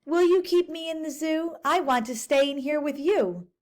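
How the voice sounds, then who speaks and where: A woman's voice: high voice, normal speed, darkish tone, pitched above the performer's own voice, close to an everyday voice. A woman voicing a boastful, eager spotted male creature in a rhyming storybook, with a bouncy delivery. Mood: pleading.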